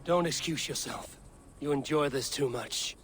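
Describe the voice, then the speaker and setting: A man's voice: a little high, measured pace, fairly bright tone, a little hiss or hum. A male actor voicing a level-headed Viking raider in a video game, terse and commanding. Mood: stern. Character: stern, level-headed Viking raider, a seasoned warrior man. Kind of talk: dramatic video game acting.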